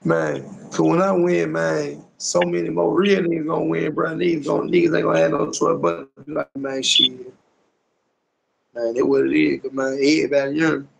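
A young American man with a very gravelly, crackling voice that sits a bit high. He talks in casual, slang-heavy street talk on a phone video call, repeating phrases emphatically and tacking questions onto his sentences. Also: little pitch movement, a little fast. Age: young adult. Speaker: rapper being interviewed. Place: small indoor room, on a video call. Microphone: phone at arm's length on video call.

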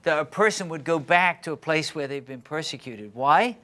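A man's voice: on the high side, lively intonation, normal speed, pushed and bright. A man in his sixties leading a group Bible lesson in a TV studio, quizzing the class with questions, on a lapel mic. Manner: questioning, engaging, asks the class. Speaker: Bible study teacher. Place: TV studio set.

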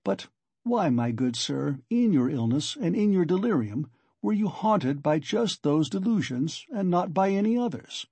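A male narrator plays a sly examining lawyer from a novel. The voice sits fairly high, with a soft husky edge and a very dry, close sound. He is ironical and teasing, full of mocking good humor. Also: very animated, measured pace, no room sound, exaggerated voice. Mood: ironic, needling. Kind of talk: dramatic audiobook character reading.

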